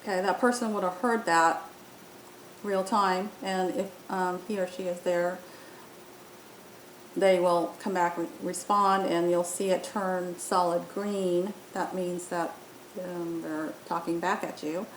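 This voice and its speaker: A woman's voice: fairly even intonation, slow pace. A woman presenting an unscripted app demo, explaining step by step with some hesitation. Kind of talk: unscripted software tutorial narration. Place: indoor room, voice-over on screen recording. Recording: roomy echo, faint rumbling noise.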